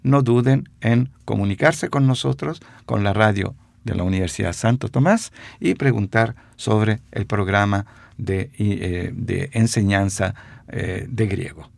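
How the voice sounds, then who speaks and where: A man giving a radio language lesson, repeating phrases slowly with hesitant fillers. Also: on the low side, gravelly, dry recording. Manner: slow, repeats phrases for dictation; stumbling fillers.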